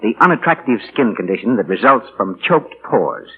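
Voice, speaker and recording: Man, old-time radio announcer, scripted pitch, slightly rough voice, muffled vintage recording. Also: very animated, moderate pace. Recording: muffled, tinny 1940 radio broadcast.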